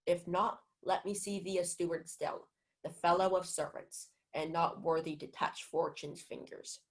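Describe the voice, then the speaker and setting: A woman's voice: lowish voice, steady tone, unhurried. A young woman acting a pompous, gullible male steward in a Shakespeare comedy radio play, theatrical and self-important. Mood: grandly reading. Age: young adult. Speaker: student actor.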